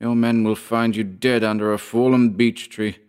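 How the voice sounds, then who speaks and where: Man playing a savage trapped poacher, raised pitch, snarling, mocking, bitter, storybook villainy. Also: level tone, moderate pace, a little mellow, smooth, natural voice. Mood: quiet menace. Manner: snarling, jeering.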